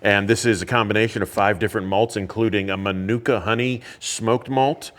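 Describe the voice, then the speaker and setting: A man who teaches about whiskey, explaining things in a relaxed, casual way with lots of fillers. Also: fairly low pitch, a little fast. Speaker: whiskey educator. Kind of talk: casual chat. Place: indoors at a teaching academy.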